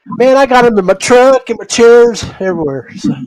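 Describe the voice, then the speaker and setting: A man with a noticeably high voice hosts a casual podcast. He is folksy and familiar, addressing people like old buddies. The sound is very dry, with hardly any room to it. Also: animated, normal speed, darkish tone, no echo, low-quality recording. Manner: folksy, familiar, addresses listeners as guys and buddy. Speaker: podcast host. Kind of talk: casual fishing podcast chat.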